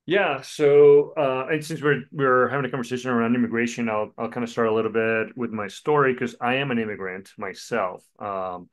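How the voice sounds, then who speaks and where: A man's voice: medium pitch, fairly even intonation, brisk, few pauses. A man in his forties who grew up in Colombia, a pastor telling his story in a podcast interview, with many fillers. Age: 40s.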